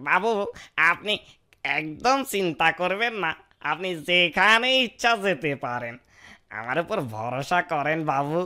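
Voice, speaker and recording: A woman's voice: deep voice, expressive, measured pace, bright, forceful tone, heavily acted. A woman voicing a sly village thief in a cartoon, falsely humble and exaggerated in manner. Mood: pleading, fawning. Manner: exaggerated, muttering schemes and fawning pleas. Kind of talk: cartoon character acting.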